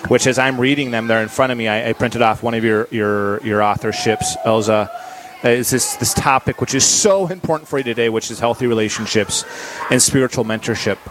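Man, radio host, halting, repeats words, faint background noise. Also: medium pitch, a bit bright. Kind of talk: casual radio interview. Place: high school.

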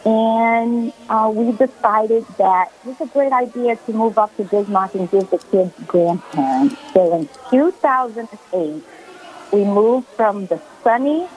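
A woman's voice: a little high, expressive, measured pace, darkish tone, low-quality recording. A woman in her forties from Brazil, a guest on a radio call-in interview, telling her story earnestly with many fillers. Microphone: phone call.

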